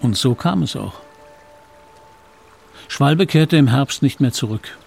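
Gravelly male voice, middle-aged man narrating his past, regretful, confiding, radio drama, faint music behind. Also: mid-range voice, expressive, slow. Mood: reflective. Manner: reflective, confiding. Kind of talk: dramatic first-person radio play narration.

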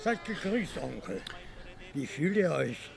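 A man's voice: fairly high pitch, very animated, slow pace, creaky, music in the background, heavily acted. An actor playing a respectful, earthy Sicilian henchman who serves his mafia uncle, in a radio crime drama. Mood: respectful, caring.

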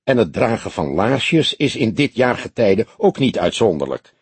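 A man voicing a calm, skeptical old police detective in an audiobook, measured and placating. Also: fairly low pitch, slightly rough, no room sound. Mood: soothing. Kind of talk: audiobook character voice.

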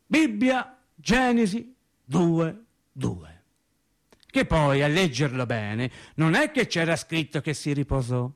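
High-voiced, earnest Italian-speaking factory worker. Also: male voice, very animated, slow, full character voice. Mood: animated musing. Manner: colloquial, emphatic, self-interrupting, repeats himself. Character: earnest, rambling working-class male factory worker recounting his life. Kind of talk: theatrical radio monologue.

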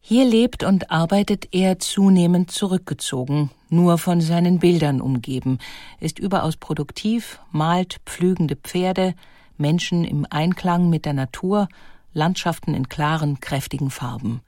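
A woman reading a documentary narration in a clear, composed way. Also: lowish voice, unhurried, dark tone, no room sound, studio quality. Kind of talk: scripted narration.

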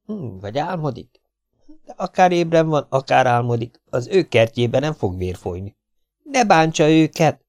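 A man voicing a fiery, bossy middle-aged housewife who scolds in a shrill voice. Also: very animated, darkish tone. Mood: pleading.

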